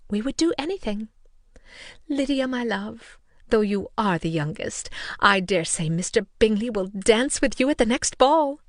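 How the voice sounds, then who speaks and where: A woman doing a shrill, fretful mother. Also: no echo, studio quality. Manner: shrill, exclamatory, complaining. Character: fretful, excitable middle-aged mother fussing over daughters.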